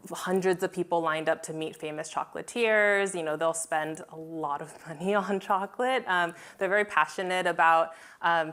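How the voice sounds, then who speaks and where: Chocolatier lady who grew up in japan, smooth voice, excited chatty talk. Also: sits low. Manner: enthusiastic, chatty, with fillers. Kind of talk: panel discussion.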